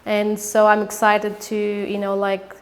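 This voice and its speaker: A German woman speaking English with a German accent, describing her photographic work in halting speech with many fillers and restarts. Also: medium pitch, completely flat delivery. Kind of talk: artist interview monologue.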